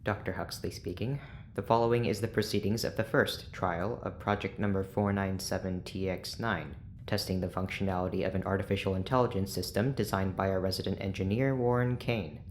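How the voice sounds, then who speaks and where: An actor playing a dry, sardonic research doctor in an audio drama, formal and clipped, with deadpan sarcasm. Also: low-pitched, a little fast, slight background noise, close to an everyday voice. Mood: formal, dour. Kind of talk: audio drama acting, formal lab log.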